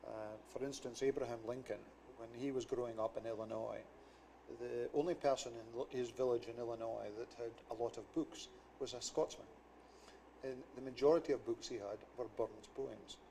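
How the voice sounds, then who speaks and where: A man's voice: mid-range voice, steady tone, moderate pace, vocal fry, warm tone, a little hiss or hum, poor audio. A Scottish man, a talk show guest, explaining history in an anecdotal way. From Scotland. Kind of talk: studio talk show interview.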